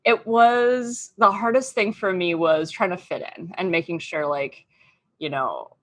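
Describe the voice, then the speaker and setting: A woman's voice: lowish voice, measured pace. A woman in her thirties from Australia, a guest chatting casually in an interview, full of "um" and "like". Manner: chatty, lots of fillers and "like". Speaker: podcast guest.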